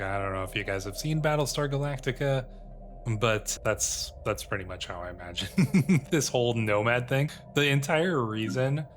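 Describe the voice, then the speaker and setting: A man hosts a gaming video over clearly audible game music. His voice sits at a middling pitch. He talks casually, rambling through options and questions as he thinks out loud. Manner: rambling, musing aloud in questions. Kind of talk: casual let's-play commentary, thinking aloud, reading game text. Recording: music playing behind the voice.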